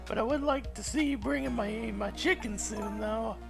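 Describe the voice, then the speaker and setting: A man's voice: extremely high pitch, moderate pace, vocal fry, faint music behind, voice pushed up. A man voicing a chatty, stubborn young woman detective from a video game. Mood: indignant. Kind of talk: video game character dub in a let's play.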